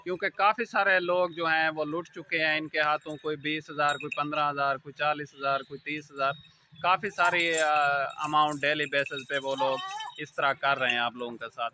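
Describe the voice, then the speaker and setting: A man's voice: fairly high pitch, steady tone, moderate pace, background noise. Earnest man filming a selfie warning. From Pakistan. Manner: earnest, repetitive, keeps addressing the viewer as "my brother". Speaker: goat farm owner and channel host. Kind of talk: selfie-video warning talk to viewers. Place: outdoor goat farm pen, among goats and trees. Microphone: phone front camera at arm's length.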